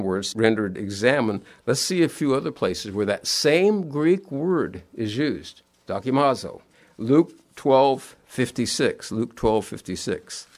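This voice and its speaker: A middle-aged man preaching a sermon, sounding nasal and sniffly from allergies, earnest and repeating his key words in plain homespun phrasing. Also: mid-range voice.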